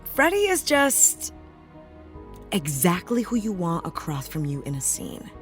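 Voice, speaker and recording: A woman playing a fond, expressive actress reminiscing in a documentary-style interview. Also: fairly low pitch, measured pace, faint music behind. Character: affectionate actress reminiscing fondly about her co-star in interview.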